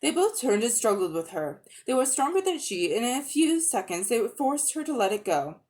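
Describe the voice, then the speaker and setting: A woman's voice: fairly high pitch, sing-song, normal speed, darkish tone. A woman reading a children's fantasy story aloud as a dramatic narration. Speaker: audiobook reader.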